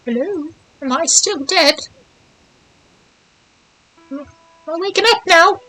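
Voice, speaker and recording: A woman's voice: very high voice, expressive, takes it slowly, bright, forceful tone, low-quality recording. A woman streaming a video game, chatting casually in short, playful reactions. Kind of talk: casual gameplay reaction chat.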